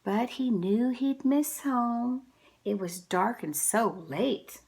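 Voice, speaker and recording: A woman reading a picture book aloud to children, with deliberate, dramatic, expressive storytelling. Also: fairly high pitch.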